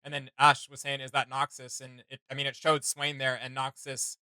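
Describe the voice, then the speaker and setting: A man's voice: mid-range voice, monotone, normal speed, pushed and bright, clean tone, dry recording. A young man hosting a casual reaction chat, enthusiastic and slangy. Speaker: reaction video host.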